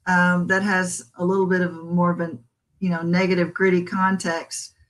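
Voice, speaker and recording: A woman's voice: on the low side, flat delivery, normal speed. A woman singer-songwriter talking casually as an interview guest, chatty, with lots of fillers.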